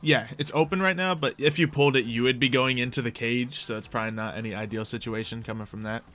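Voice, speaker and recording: A man's voice: medium pitch, fairly even intonation, fast, a bit bright. A man acting as game master, explaining and narrating a tabletop roleplay game in a casual, conversational way.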